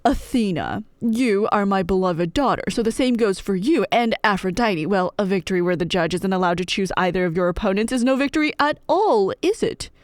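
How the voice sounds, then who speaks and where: A woman voicing a male king of the gods in a storybook retelling, smooth-talking and placating, with formal phrasing. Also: medium pitch, animated, fairly quick, few pauses, no echo, studio quality, close to an everyday voice. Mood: placating, diplomatic. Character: Zeus, male king of gods, diplomatically wriggling out of judging.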